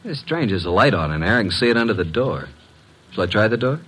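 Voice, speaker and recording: Low-voiced wisecracking detective's sidekick. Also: male voice, big pitch swings, fast talker, a bit bright, slightly rough, full character voice. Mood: casual, breezy. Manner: impatient, sarcastic, breezy wisecracks. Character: brisk, wisecracking, flirtatious male detective's assistant. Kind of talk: 1940s-50s American radio drama acting. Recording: noisy old radio recording.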